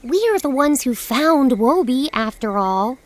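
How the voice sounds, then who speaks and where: High, spirited young girl. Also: expressive, measured pace. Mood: assertive. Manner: challenging, playful. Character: spirited, quick-witted young girl, cheeky and bold. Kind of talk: children's audio drama acting.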